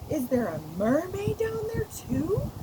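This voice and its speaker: A woman voicing an excitable, wonder-struck little girl in a children's storybook, full of gushing, curious questions. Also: extremely high pitch, unhurried, voice pushed up, exaggerated voice. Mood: delighted. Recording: noisy recording with loud background noise.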